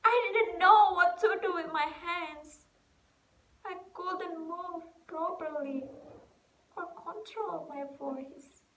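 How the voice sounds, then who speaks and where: A woman playing a weary, distraught young stage actress in an emotional, halting theatrical monologue. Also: very high-pitched, pitched above the performer's own voice, heavily acted. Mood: agitated, despairing. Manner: halting, emotional, swinging from hushed to loud. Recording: echoey room with noticeable background hiss.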